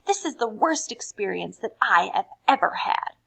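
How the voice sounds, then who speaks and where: Woman playing a furious girl in a loud tantrum. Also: medium pitch, big pitch swings, moderate pace, gravelly, husky, heavily acted, low-quality recording. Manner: emphatic, exploding into shrieks.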